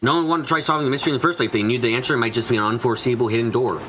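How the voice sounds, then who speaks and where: A man's voice: medium pitch, fairly even intonation, rapid-fire, a bit bright, a little hiss or hum. A man doing a dramatic reading of visual novel dialogue as a streamer, now and then stumbling over words. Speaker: let's play streamer. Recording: music behind the voice.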